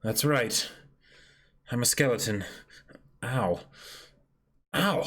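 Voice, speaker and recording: A man voicing a gentle, sentimental living skeleton who is a pirate musician in a manga reading. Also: medium pitch, animated, takes it slowly, voice pushed down. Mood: forlorn.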